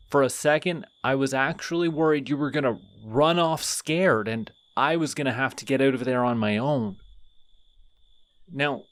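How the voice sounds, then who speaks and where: A man's voice: mid-range voice, no room sound, lightly acted. A man voicing a friendly, chatty male skeleton who rambles sheepishly in an audio roleplay. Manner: rambling, sheepish, talks to himself.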